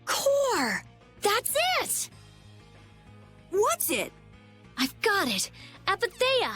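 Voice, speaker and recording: High lively teen genius girl, blunt n excitable, video game style. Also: drawn-out words, soft background music, full character voice. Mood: excited. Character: brainy, blunt, excitable teenage girl inventor.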